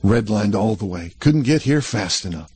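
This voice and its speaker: A man reading an audiobook, playing a restless young cowboy who talks fast, rough and excited, with plenty of swearing. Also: fairly low pitch. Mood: boastful. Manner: rough rural talk, swearing, quick and excited. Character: restless young male cowboy, eager and boastful.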